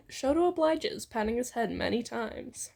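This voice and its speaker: A woman's voice: on the high side, expressive, a little slow, creaky, darkish tone. A woman casually reading a fan fiction story aloud as narrator.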